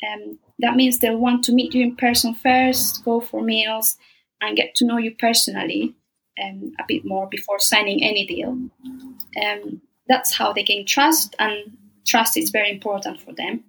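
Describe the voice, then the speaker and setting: Woman guest, podcast interview; gravelly, slightly high voice; steady, explanatory, lots of fillers. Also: poor audio. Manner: measured, explanatory, many ums and uhs. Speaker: translation company director, guest.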